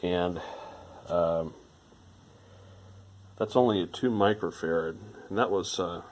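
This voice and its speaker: A man's voice: low-pitched, slow. A man giving informal hands-on repair narration, rambling a little as he thinks aloud. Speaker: electronics repair hobbyist presenting a restoration.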